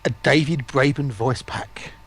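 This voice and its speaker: A man's voice: medium pitch, measured pace, fairly bright tone. A man in a casual stream chat, chipping in as a participant.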